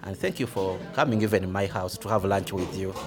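A man's voice: sits low, a little fast, music in the background. A man from Uganda with a Ugandan accent, a pastor answering interview questions in earnest, plain words. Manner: plain, earnest, repeats "we are blessed".

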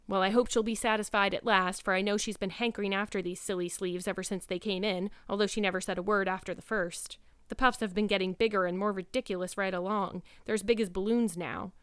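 A stern older woman guardian from a storybook, acted by a woman narrator, brisk and scolding. She speaks stiffly at a medium pitch, cleanly and with practical disapproval. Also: fairly even intonation, clean tone, no room sound, close to an everyday voice. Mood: stiff, scornful.

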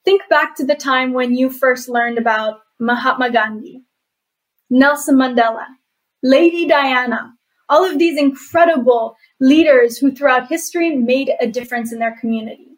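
High-voiced young woman from Bali. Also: normal speed, poor audio. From Bali, Indonesia. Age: young adult. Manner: earnest, reflective, frequent fillers. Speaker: youth activist guest. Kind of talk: virtual interview answers.

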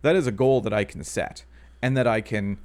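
A man's voice: fairly low pitch, a little fast, a little mellow. A man in his thirties chatting casually as a podcast co-host, rambling and hedging with fillers and restarts. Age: 30s. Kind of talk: casual chat.

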